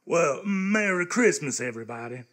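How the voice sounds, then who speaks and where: Casting: male actor as good-hearted young army recruit, live radio comedy, slightly high bright voice, bashful folksy delivery. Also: lively intonation, normal speed. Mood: fond. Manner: aw-shucks, folksy. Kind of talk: comic live radio theatre.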